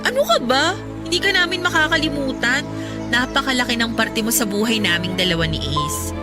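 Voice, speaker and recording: A woman acting an affectionate, teasing, excitable young bride-to-be in a radio drama. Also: on the high side, brisk, natural voice. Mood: affectionate, happy. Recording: loud music over the voice. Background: loud music.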